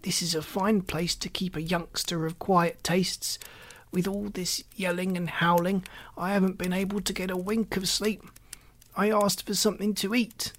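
A querulous, stubborn old man as a storybook narrator acts him out, complaining in a weak, quavering whine. The voice is pitched up high and thin. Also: little pitch movement, normal speed, natural voice. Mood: whining protest.

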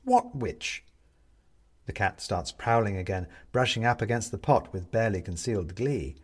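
A man narrating a story from a script in an expressive, dramatic way. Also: on the low side, fairly quick. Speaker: audiobook narrator.

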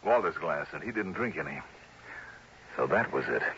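An American actor playing an earnest, groggy private investigator in a 1960s radio drama, thinking aloud with some hesitation. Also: male voice, low voice, animated, moderate pace, creaky, bright, forceful tone, breathy edge, exaggerated voice. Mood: shaken, puzzling. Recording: hissy, dull old radio recording.

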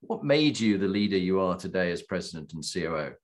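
A man with a lowish voice hosts a conversational interview. He speaks in an effusive, complimentary way, as an interviewer drawing out a guest. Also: sing-song, fast. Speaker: interviewer and host. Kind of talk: warm-up interview chat.

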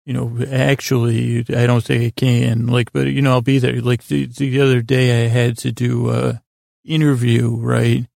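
A man hosting a bedtime podcast in a gravelly voice, rambling in a halting, stammering, self-deprecating monologue. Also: mid-range voice, flat delivery, darkish tone, no echo, clean studio sound. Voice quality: gravelly, creaky. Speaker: podcast host.

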